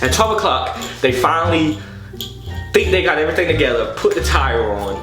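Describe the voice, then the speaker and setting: A man's voice: on the high side, moderate pace. Exasperated man telling a story over music. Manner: exasperated, slangy, profane, animated retelling. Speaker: storytelling vlogger. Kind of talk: casual personal storytelling. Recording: echoey room, loud background music. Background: background music.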